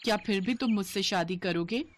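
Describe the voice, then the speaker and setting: A woman's voice: mid-range voice, brisk, lightly acted. A woman voicing a sweet, simple young bride, polite, frank and innocent, in a cartoon story.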